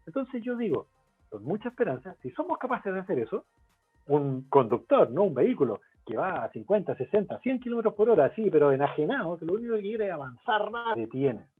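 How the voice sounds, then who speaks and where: A man from Chile with a Chilean Spanish accent, a guest expert in a webinar interview who explains his points in a lively way and poses rhetorical questions. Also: sits high, brisk, husky. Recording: tinny phone-like line, faint music.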